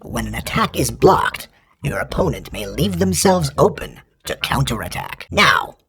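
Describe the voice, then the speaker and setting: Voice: medium pitch, a little slow, creaky, a crowd in the background, full character voice. An actor voicing an emotionless training droid in a video game, giving formal, clipped combat instructions through robotic processing. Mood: stern, procedural. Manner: formal, procedural, deadpan announcements. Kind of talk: scripted video game voice lines.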